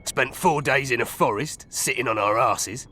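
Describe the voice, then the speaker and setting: Bright-voiced, coarse thug, acted by a man. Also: mid-range voice, normal speed, a little hiss or hum, close to an everyday voice. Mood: grudging. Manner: crude, blunt, colloquial. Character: coarse, foul-mouthed male hired thug, cocky and defiant. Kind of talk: video game voice acting.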